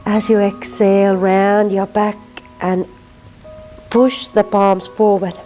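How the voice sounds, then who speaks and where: A woman from Finland with a Finnish accent, teaching a gentle yin yoga class and softly cueing breath and movement. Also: medium pitch, little pitch movement, takes it slowly, faint music behind, rough recording. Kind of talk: guided yin yoga instruction.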